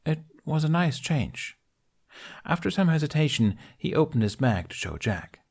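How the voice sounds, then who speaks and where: A man's voice: a little high, big pitch swings, moderate pace. A man reading a story aloud as a scripted narration. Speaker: fan fiction narrator.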